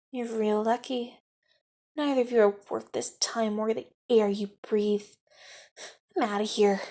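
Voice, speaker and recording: A menacing street extortionist, played by a woman, taunting and mock-sweet in an audio roleplay. Her voice sits high yet sounds soft and mellow, drawn out slowly, sinking into threatening whispers. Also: flat delivery, a little hiss or hum. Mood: scornful. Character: menacing knife-wielding female street extortionist.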